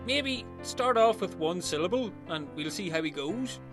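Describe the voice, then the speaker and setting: A performer voicing a fussy, excitable boss with a shrill, theatrical, drawn-out delivery in a comedy audio sketch. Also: music in the background, lightly acted. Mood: coaxing.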